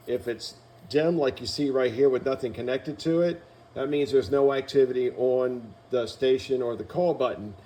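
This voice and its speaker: Guy doing a product how-to, goes step by step, explains everything, regular mid pitch voice. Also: mellow, a little hiss or hum. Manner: methodical, explanatory. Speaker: company product demonstrator. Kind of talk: instructional product walkthrough.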